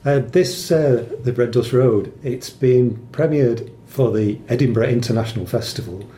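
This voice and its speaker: Male interviewer, very mellow and muted, informal and slightly hesitant; roomy sound with faint rumble. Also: normal speed. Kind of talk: casual interview questions. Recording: roomy echo, background rumble.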